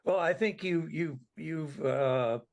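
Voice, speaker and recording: A man's voice: a little high, takes it slowly, creaky. Man giving conversational political analysis. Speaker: interview guest, political analyst.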